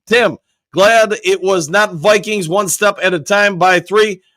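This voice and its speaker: Guy with a worn-out, almost gone voice, pretty high pitched, reading chat upbeat, dry sound. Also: unhurried, sharp tone, no echo. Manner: upbeat, reads chat comments aloud and answers them. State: voice nearly worn out. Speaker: host reading viewer comments. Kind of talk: casual live-stream chat.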